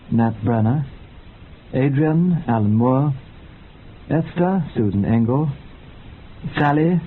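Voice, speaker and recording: A male radio announcer with a medium-pitched voice reads scripted credits in a formal style. The recording is a little dull, with faint background noise.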